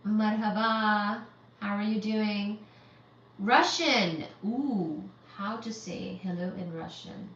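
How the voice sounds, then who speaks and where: Woman in her 30s chatting on a livestream, slow unhurried talk, far from the mic in an echoey room, dull sound. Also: mid-range voice, a bit bright. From Central Asia. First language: Uzbek. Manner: reads viewer comments aloud and greets them one by one. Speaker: livestream host. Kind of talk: live-stream chat. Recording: dull, roomy, distant from the mic.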